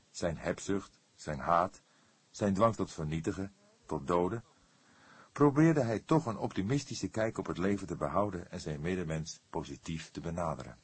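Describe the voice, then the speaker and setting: A man narrates a literary detective audiobook. His voice is low and husky, recorded dry with hardly any room sound. The delivery is scripted storytelling. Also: no room sound.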